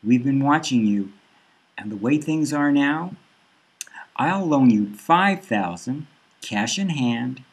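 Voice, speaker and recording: A man voicing a cold, menacing female bank loan officer who lays out threats in a flat, businesslike way. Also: mid-range voice, slightly rough, exaggerated voice, low-quality recording. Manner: cool, threatening, matter-of-fact.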